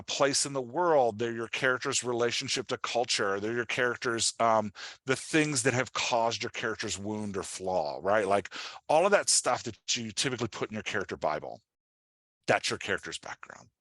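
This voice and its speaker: A man giving an informal workshop as a writing coach, talking in an animated, conversational way and often checking in with his listeners. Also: mid-range voice, no echo. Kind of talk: informal online workshop presentation.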